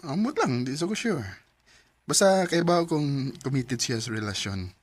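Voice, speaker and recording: A woman's voice: very deep voice, expressive, moderate pace, dry recording. A woman playing a modest, good-humored young man in his mid-twenties who runs a motor shop. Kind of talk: amateur radio drama acting.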